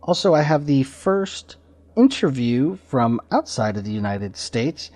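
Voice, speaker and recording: A man's voice: medium pitch, sing-song, a little slow, a little mellow. A man hosting a podcast, giving casual introductions in an informal, slightly rambling way. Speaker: podcast host. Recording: slightly dull lo-fi audio.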